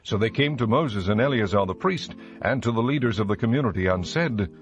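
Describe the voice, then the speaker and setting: A man giving a formal, measured scripted narration of scripture. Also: fairly low pitch, expressive, highs cut off, soft background music.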